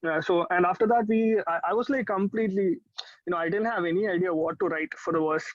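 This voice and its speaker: A man's voice: high-pitched, fast talker, dry recording. A young man with an Indian accent, talking casually as a musician being interviewed. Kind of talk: casual chat. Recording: dry but slightly muffled audio.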